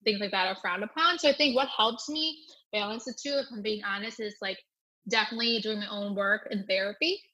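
A woman's voice: medium pitch, a little fast, pushed and bright, rough recording. A young woman who grew up with Thai as her first language, speaking earnestly as a guest in an interview and often checking in with "right?". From United States. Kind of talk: reflective personal interview answer.

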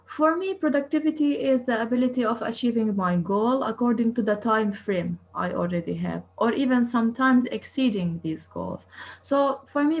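Higher-voiced woman. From East Jerusalem. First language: Arabic. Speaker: interview guest, coach and researcher. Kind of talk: interview answers.

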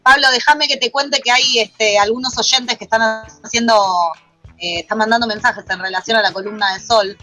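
Bright, teasing woman radio co-host. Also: fairly high pitch, steady tone, moderate pace, faint music behind. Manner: teasing, reads listener messages. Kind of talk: casual radio chat.

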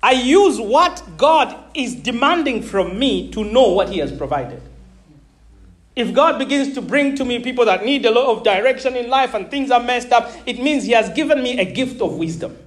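High-voiced emphatic preaching man. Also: lively intonation, moderate pace. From Zambia. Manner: emphatic, calls out to the congregation; repeats words, laughs between points. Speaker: pastor. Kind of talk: sermon.

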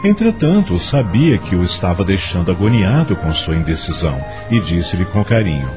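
A man's voice: low-pitched, very animated, moderate pace, music in the background. A man narrating a radio soap opera in scripted storytelling style.

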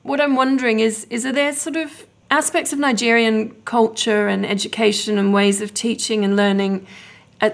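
Creaky-voiced woman interviewer. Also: mid-range voice. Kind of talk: conversational interview questioning.

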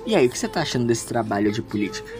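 An earnest, hesitant Brazilian teenage boy in an audio drama, acted by a woman, with a very low voice that swings in pitch. Music plays behind the self-doubting lines. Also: very animated, racing. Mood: casual, curious.

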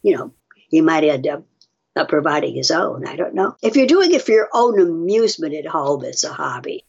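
A woman with a husky voice chatting casually as a podcast guest, musing aloud with firm opinions and rhetorical questions. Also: sits low, big pitch swings, moderate pace, darkish tone, dull audio. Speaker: podcast guest reacting to research studies. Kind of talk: casual conversational commentary.

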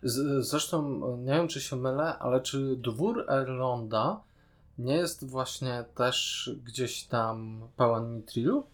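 A man's voice: mid-range voice, slow pace. A man, co-hosting a podcast and casually discussing a TV series. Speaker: podcast co-host.

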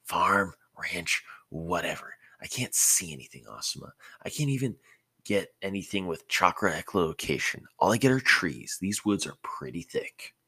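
Man voicing a grumbling, impatient boy ninja, gravelly. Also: deep voice, sing-song, normal speed, sharp tone, no room sound, exaggerated voice. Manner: grumbling, griping. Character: loud, impatient, complaining boy ninja trainee.

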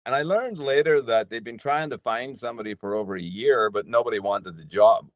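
An older man, telling his personal story as a podcast guest in a hesitant, unhurried way with pauses. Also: medium pitch, no room sound. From Northern Ireland. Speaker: podcast guest, business coach.

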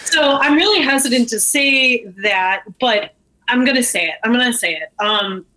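A woman's voice: on the high side, lively intonation, normal speed, bright, forceful tone, slight background noise, low-quality recording. A woman talking candidly and informally as a guest in a casual interview. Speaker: guest, brewery co-owner.

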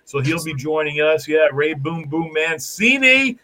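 A man's voice: on the high side, sing-song, measured pace, sharp tone. A man hosting a talk show, effusive and folksy, thanking guests and viewers loosely. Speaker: show host.

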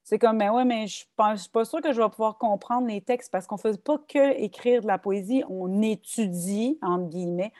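Québécoise woman speaking softly, mellow voice. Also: mid-range voice, normal speed, hardly any pauses, dry recording, studio quality. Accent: Québécois French. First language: French. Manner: thoughtful, animated, many restarts and fillers. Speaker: guest author and poetry workshop leader. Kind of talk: casual conversational interview.

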